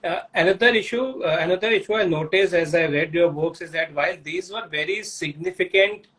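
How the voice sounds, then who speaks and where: A man's voice: sits high, brisk, fairly bright tone, low-quality recording. A man hosting a conversation about books, who asks long, winding questions full of fillers. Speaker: host.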